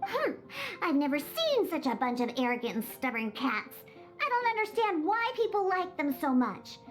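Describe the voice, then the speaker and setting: A woman's voice: high voice, big pitch swings, normal speed, soft background music. A woman voicing a grumpy, baffled girl in a video game, haughty and complaining. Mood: disdainful. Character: grumpy, baffled girl who scorns cute cats.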